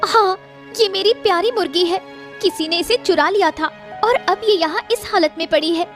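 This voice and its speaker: A woman voicing a distressed, excitable village woman in a cartoon story, plaintive and agitated. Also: very high voice, moderate pace, soft background music. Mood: upset, accusing. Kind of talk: Hindi cartoon story voice acting.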